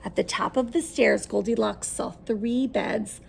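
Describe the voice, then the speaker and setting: A woman's voice: on the high side, measured pace, gravelly, a little mellow, breathy edge. A woman reading a children's story aloud expressively, putting questions to her listeners as she goes.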